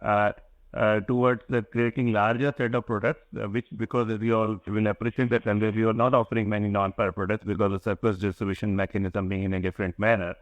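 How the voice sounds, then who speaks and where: An Indian-accented man, a company executive, giving formal, wordy answers on an earnings call with halting phrasing. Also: on the low side, level tone, dry recording, lo-fi sound.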